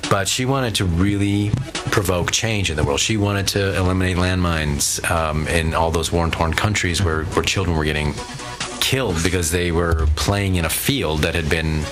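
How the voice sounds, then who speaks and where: A man with a very low voice sits in as a guest on a live internet radio show. He answers at length in an earnest, admiring tone and tells stories with warmth. Music plays behind him. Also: fairly even intonation, moderate pace. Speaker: guest actor.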